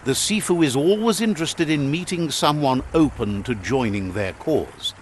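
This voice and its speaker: A man doing scripted narration for a video game in a measured storytelling manner. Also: on the high side, animated, slight background noise. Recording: game audio over music. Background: faint game music.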